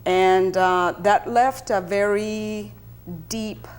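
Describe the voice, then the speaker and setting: A woman from South Texas with a medium-pitched voice speaks as an interview guest. She is a bilingual teacher whose native language is Spanish. She tells personal recollections in a measured, deliberate manner. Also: steady tone, clean tone. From South Texas, United States.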